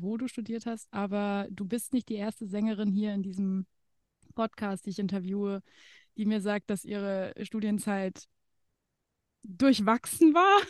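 A woman's voice: medium pitch, no echo, clean studio sound. Chatty woman hosting a podcast. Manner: chatty, laughs often, many fillers. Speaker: podcast host. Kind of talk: casual interview chat.

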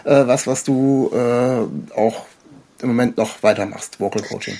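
A man's voice: mid-range voice, measured pace, mellow. A man hosting a casual interview, feeling his way through long, meandering questions in a hesitant manner. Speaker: podcast host, interviewer.

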